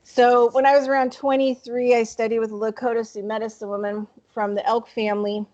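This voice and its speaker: A woman's voice: a little high, flat delivery, brisk, a little mellow, highs cut off. A woman hosting a group video call, chatting casually and rambling with many fillers. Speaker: host.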